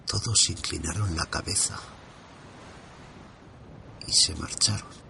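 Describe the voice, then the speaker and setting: A man with a very low voice tells a dark first-person mystery in a tense, hushed way. He slips in and out of whispers as the story builds. A faint hiss sits under his voice. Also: fairly even intonation, takes it slowly, vocal fry. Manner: hushed, suspenseful, drops into whispers. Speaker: horror podcast narrator. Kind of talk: scripted horror narration.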